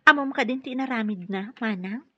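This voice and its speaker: A woman's voice: a little high, brisk, fairly bright tone, breathy edge, dry recording. An actress with an Ilocano Filipino accent playing a caring but firm therapist and young mother in a radio drama. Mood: earnest. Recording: dull lo-fi radio drama, music underneath.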